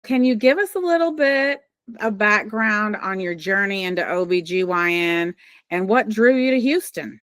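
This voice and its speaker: A woman in her fifties hosting a podcast, delivering a polished scripted intro and interview questions in an even voice. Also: medium pitch, moderate pace, no echo. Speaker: podcast host, sonographer. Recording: dry close mic with faint music. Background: faint music.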